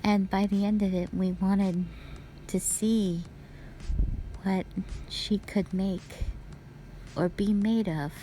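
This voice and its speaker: Slow-talking wistful witch, acted by a woman. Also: mid-range voice, fairly even intonation, mellow, a little hiss or hum, lightly acted, rough recording. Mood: wistful, solemn. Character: soft-spoken witch sister, wistful, scheming and playful. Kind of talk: audio roleplay acting addressed to the listener.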